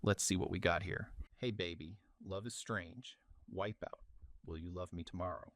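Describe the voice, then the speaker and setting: A man's voice: sits low, steady tone, normal speed, a bit bright, no room sound, clean studio sound. A middle-aged man hosting a casual show-and-tell vlog, narrating in an informal way. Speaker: video host.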